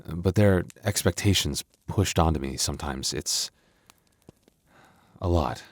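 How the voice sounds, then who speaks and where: A man's voice: very deep voice, unhurried, no echo, full character voice. A man playing a young werewolf boyfriend, tender but protective with a tough edge, in an intimate ASMR roleplay. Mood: troubled, confiding.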